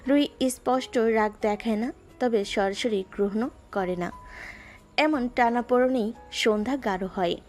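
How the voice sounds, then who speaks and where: A woman narrating a romantic audio story in a measured, lyrical way. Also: on the high side, faint music behind.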